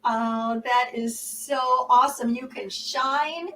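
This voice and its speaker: A woman's voice: on the high side, fairly even intonation, slow pace, pushed and bright, far from the mic, slight background noise, rough recording. An American woman hosting an online talk show, effusive and enthusiastic. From United States. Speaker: show host.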